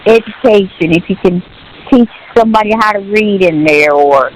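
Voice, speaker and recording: An older woman talks over a phone line with faint hiss. She has a mid-pitched voice and a casual, earnest way of advising, restarting and repeating phrases as she goes. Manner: halting, repetitive, earnestly advising. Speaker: relative on a phone call. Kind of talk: casual personal phone chat. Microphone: telephone. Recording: hissy, noisy phone call.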